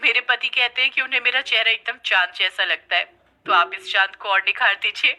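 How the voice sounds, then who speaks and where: A woman's voice: a little high, steady tone, fairly quick, pushed and bright. A woman voicing a sweet, vain young newlywed customer with a polite, chirpy manner in a cartoon story. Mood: polite, proud.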